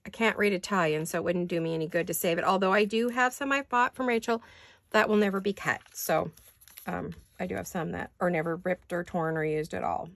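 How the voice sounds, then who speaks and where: An older woman with a raspy voice chatting casually in her home craft studio, rambling and thinking aloud as she works. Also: fairly low pitch, fairly even intonation, fairly quick, a bit bright. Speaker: craft vlogger. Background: neighborhood garbage-day banging.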